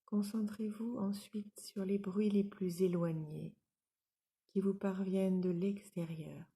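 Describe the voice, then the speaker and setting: A woman guides a relaxation session at a very slow pace. Her voice is very dark and soft, with a noticeable creak. She gives each instruction in a calm, soothing, measured way. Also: medium pitch. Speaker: yoga nidra relaxation instructor.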